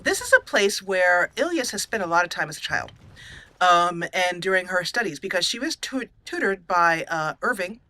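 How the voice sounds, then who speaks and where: A woman hosting a video game let's play, telling her character's story in a halting, musing way as she improvises. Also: on the low side, bright, forceful tone, no echo. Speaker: gaming channel host.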